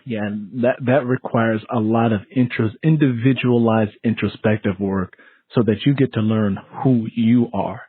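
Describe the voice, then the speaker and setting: A man's voice: lowish voice, steady tone, normal speed, breathy edge, no room sound, phone-quality sound. A man hosting an interview in a casual, conversational way, explaining things and acting out quoted lines as he goes. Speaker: interview host.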